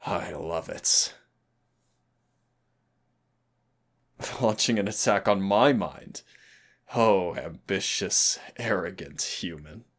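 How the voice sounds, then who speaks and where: A winged demon of royal blood, acted by a man, speaks unhurriedly in a very deep register. The voice is thick with gravel and intimate, made for audio roleplay. He comes across as proud, teasing and theatrical. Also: takes it slowly, a bit bright, heavily acted. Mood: playful, taunting. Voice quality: gravelly, husky, breathy low voice. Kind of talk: audio roleplay acting addressed to the listener.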